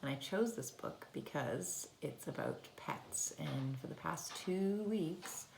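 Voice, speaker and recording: Female early-years storyteller, low creaky voice, simple child-directed delivery, roomy home recording. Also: animated, takes it slowly, a little mellow, slight background noise. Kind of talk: read-aloud storytelling for young children. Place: indoors at home.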